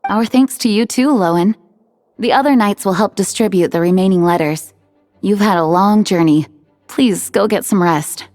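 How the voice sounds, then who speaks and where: A woman's voice: mid-range voice, moderate pace, a little mellow, faint music behind, close to an everyday voice. A woman playing a courteous, composed female knight in authority, speaking formally in a video game. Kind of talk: game voice acting.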